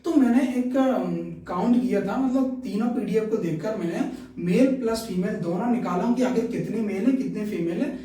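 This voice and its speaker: A man's voice: sits high, moderate pace, warm tone. A man giving an informal explainer and reading out figures, repeating himself and often checking that listeners follow. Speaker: exam-news video presenter. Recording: echoey room, distant mic.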